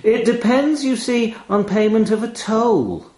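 A man voicing a talking raven in a short story, mock-friendly and smugly menacing, hamming up his pauses. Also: high voice, expressive, mellow, reverberant, voice pushed up. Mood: coy menace. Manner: mock-friendly, assured, hammy, savouring pauses. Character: menacing, smug talking raven demanding a toll. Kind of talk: dramatised short-story reading.

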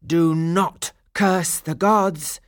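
A woman voicing a chorus of living stone nymph statues in a storybook, speaking in a measured, solemn, admonishing way. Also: on the low side, fairly even intonation, no room sound, studio quality.

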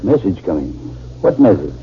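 An actor playing an army general with a commanding manner in a 1950s radio drama. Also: male voice, sits low, very animated, moderate pace. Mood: gentle, concerned. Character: brisk commanding male army general, gentle with the sick. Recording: dull, noisy old 1950s radio recording.

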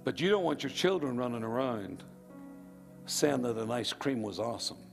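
A man's voice: mid-range voice, animated, normal speed, gravelly. A man preaching a live sermon to a congregation, with emphatic, fervent repetition. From Northern Ireland. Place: church hall with a live congregation. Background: music.

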